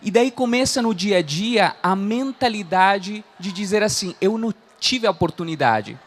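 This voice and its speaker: A man's voice: high-pitched, animated, normal speed. A man in his thirties from Chile, speaking Portuguese with a Chilean Spanish accent, giving an earnest motivational talk as a coach. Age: 30s. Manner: earnest, frequent "né?" tags. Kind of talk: motivational talk to a live audience.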